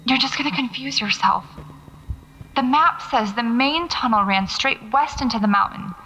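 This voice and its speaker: A woman's voice: fairly high pitch, normal speed, pushed and bright, a little hiss or hum, rough recording. A woman acting as a wry, stubborn paranormal investigator in an audio drama, talking to herself as she records field notes. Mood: unnerved. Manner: muttering to herself, sardonic, self-coaching.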